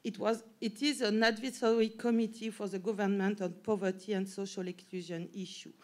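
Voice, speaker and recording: French-accented woman giving a halting talk. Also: medium pitch, flat delivery. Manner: halting, frequent restarts and self-corrections. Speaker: professor and panel speaker. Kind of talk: academic conference talk.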